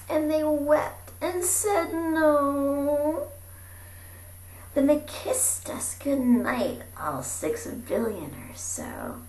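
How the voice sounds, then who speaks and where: Lady reading a rhyming kids book, higher voice, really dramatic swings, bit of echo. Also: a little mellow. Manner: theatrical, dropping into breathy whispers and calling out. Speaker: amateur storybook reader. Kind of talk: animated rhyming picture-book read-aloud. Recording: roomy echo with faint background noise.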